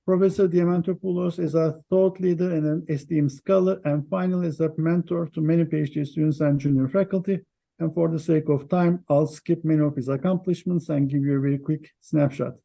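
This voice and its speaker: Mellow, gravelly male interview host. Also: a little high, steady tone, brisk. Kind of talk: prepared introduction and interview questions.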